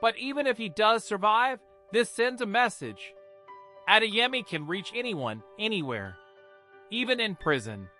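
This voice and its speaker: Man as a commanding policewoman, high and forceful. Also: sing-song, a little slow, faint music behind, exaggerated voice. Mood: grave. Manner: authoritative, clipped, forceful. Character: commanding, resolute senior policewoman. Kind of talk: dramatised folktale narration.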